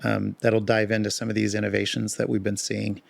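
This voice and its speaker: A man co-hosting a podcast in casual chat, a bit hoarse, talking in a relaxed, rambling way with fillers. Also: on the low side, brisk, no room sound. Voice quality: gravelly. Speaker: podcast co-host.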